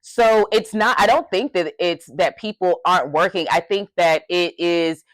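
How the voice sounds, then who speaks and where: A young adult woman co-hosting a podcast, talking in an emphatic, passionate way with a loud voice that carries. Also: lowish voice, moderate pace, no echo. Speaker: podcast co-host. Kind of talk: casual podcast discussion.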